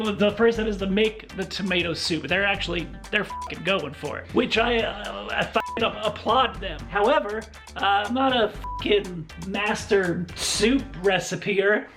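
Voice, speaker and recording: A man with a raspy voice hosting a comedic cooking vlog, talking sarcastically and swearing heavily with rambling asides. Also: high voice, a bit bright. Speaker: cooking video host.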